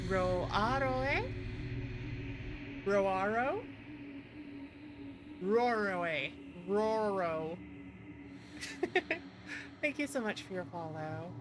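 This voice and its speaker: A woman's voice: on the high side, noisy background. A woman hosting a livestream in a casual, chatty and playful way. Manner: playful, chatty, thanks and greets viewers. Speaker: livestream host, VTuber.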